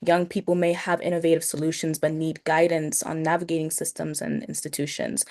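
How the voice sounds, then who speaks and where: Lower-pitched young woman on a panel. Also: little pitch movement, fairly quick, darkish tone. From Nigeria. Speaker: youth panelist. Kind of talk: panel remarks, partly prepared.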